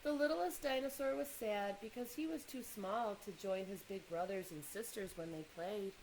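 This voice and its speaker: A woman reading a children's picture book aloud in an expressive way, pausing to engage young listeners with questions and asides. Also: mid-range voice, slight background noise, poor audio.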